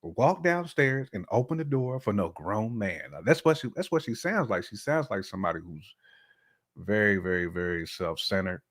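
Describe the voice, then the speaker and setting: American guy in his 40s, lowish voice, casual podcast opinions, slangy and thinking out loud. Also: big pitch swings, normal speed. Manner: colloquial, thinking aloud. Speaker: podcast co-host.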